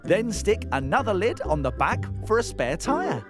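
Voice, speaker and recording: A man, presenting crafts for young children in an excitable, exaggerated and clearly enunciated way. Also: sits high, normal speed. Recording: music bed behind the voice. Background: children's show music.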